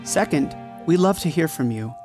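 Earnest man, fairly high voice, scripted announcement. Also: lively intonation, moderate pace, over music. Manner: earnest, supportive. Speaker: podcast cast member and announcer. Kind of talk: scripted outro message.

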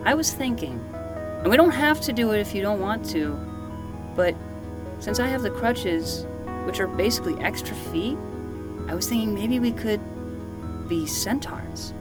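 Woman playing an earnest, lively twelve-year-old boy detective. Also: mid-range voice, unhurried, long pauses, loud music behind the voice, lightly acted. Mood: hesitant, earnest. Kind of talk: fiction podcast acting.

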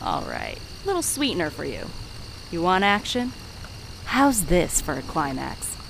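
A woman playing a wry, deliberate storyteller narrating a murder tale in an audio drama. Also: on the low side, wildly swooping pitch, vocal fry, fairly bright tone, a little hiss or hum, full character voice, rough recording. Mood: teasing.

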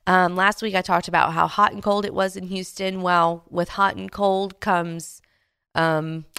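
A woman in her late twenties hosting a devotional podcast, speaking gently and earnestly with soft pauses, her voice a little stuffy from a cold. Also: fairly low pitch, fairly even intonation, smooth. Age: late 20s. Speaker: podcast host. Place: Houston, Texas.

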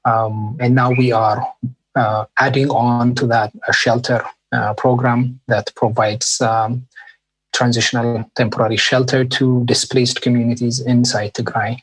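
A man working for an aid group, answering interview questions in a halting way with many fillers. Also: mid-range voice, flat delivery, breathy edge, dry recording, rough recording. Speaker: aid worker.

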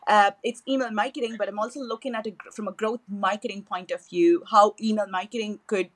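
A woman's voice: mid-range voice, steady tone, fairly quick. Eager woman podcast guest. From southern India. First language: Tamil. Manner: enthusiastic, with frequent fillers and restarts. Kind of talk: podcast interview.

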